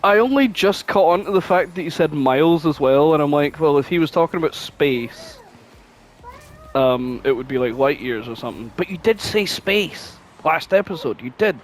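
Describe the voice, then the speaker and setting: A man with a slightly high voice and very lively intonation, chatting casually as a podcast co-host. He talks in an exclamatory way and swears freely. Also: normal speed, slight background noise. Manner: foul-mouthed, exclamatory. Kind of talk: casual chat.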